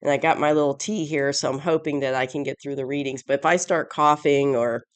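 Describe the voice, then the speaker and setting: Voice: medium pitch, fairly even intonation, fairly quick, clear, resonant tone, no echo. A tarot reader hosting a casual weekly reading with a stuffy, congested voice from allergies and a sore throat, rambling and correcting phrases along the way. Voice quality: stuffy, odd-sounding voice. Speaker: tarot reader, channel host.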